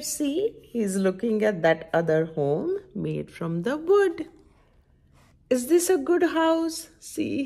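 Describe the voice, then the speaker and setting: A woman's voice: on the high side, creaky, a little mellow. A middle-aged woman reading a picture book aloud to young children, expressively and with lots of questions put straight to them. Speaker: children's story time host. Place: indoor room at home by a window.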